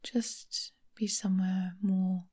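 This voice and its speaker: A woman voicing a refined, affectionate vampire mistress in a soft, breathy ASMR roleplay whisper, gentle and soothing. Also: medium pitch, little pitch movement. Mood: reassuring, coaxing. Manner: gentle, unhurried, genteel, affectionate endearments. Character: caring, refined female vampire mistress, long-lived doting lover.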